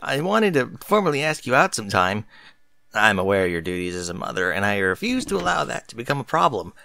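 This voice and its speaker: A man voicing a nervous, earnest male unicorn pony in a story reading, with a halting way of talking. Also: lowish voice, very animated, gravelly, sharp tone, no room sound, full character voice.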